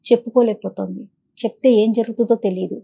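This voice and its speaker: A woman's voice: medium pitch, steady tone, fast, gravelly, warm tone, husky, lo-fi sound. A woman co-hosting a counselling call-in show, giving advice and commentary in a conversational way.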